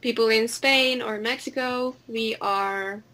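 A woman's voice: fairly high pitch, steady tone, slow, pushed and bright. A woman from Finland with a Finnish accent, giving casual, hesitant and giggly answers as an interview guest.

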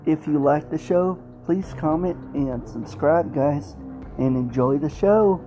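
Male podcast host, dark soft tone, halting delivery, slow introduction, quiet guitar music. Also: fairly high pitch. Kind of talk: introduction to an old-time radio episode.

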